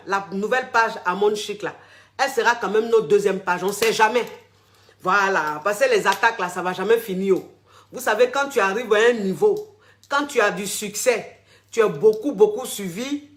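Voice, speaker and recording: A man's voice: sits high, fairly bright tone. A man, a relationship coach talking casually to followers on a live stream. He speaks effusively and repeats his phrases over and over. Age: 40s. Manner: effusive, repeats phrases, tags many sentences with a filler word.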